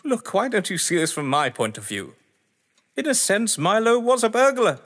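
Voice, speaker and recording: A grandiose, sardonic gentleman of letters, played by a man in an audio drama. His voice sits high and swoops freely through eloquent, defensive speeches, ringing with long reverb. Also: very animated, moderate pace, exaggerated voice. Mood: haughty, boastful. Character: haughty, theatrical games-obsessed male writer, defensive under suspicion. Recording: long echoing hall reverb.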